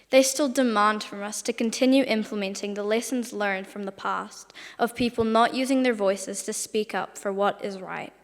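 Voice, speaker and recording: A teenage girl from New Zealand delivering a prepared formal speech as a student speaker. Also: medium pitch. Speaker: student speech competition winner. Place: large parliament hall, speaking to an event. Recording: long hall reverb.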